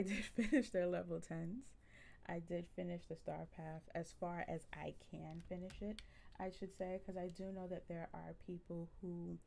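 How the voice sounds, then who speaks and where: A woman with a generally soft voice chatting casually with viewers on a live stream, relaxed and full of ums. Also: fairly low pitch, gravelly, a little hiss or hum. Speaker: livestreamer.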